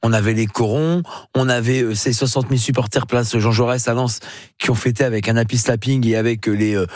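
A man's voice: mid-range voice, steady tone, fairly quick, a little mellow, no room sound, clean studio sound. A man from northern France, a guest in a TV debate, arguing insistently with many fillers and repeated denials. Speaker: political guest. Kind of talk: fast combative TV debate talk.

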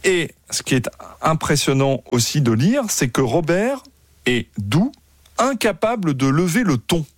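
A man's voice: on the high side, very animated, unhurried, dry recording. A man hosting a studio interview, asking playful, teasing questions in a casual tone. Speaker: host.

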